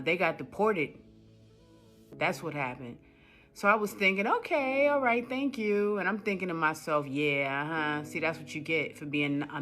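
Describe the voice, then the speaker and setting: Indignant woman with bright voice. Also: deep voice. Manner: indignant, repetitive, emphatic. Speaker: customer telling her story. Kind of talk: casual monologue to camera.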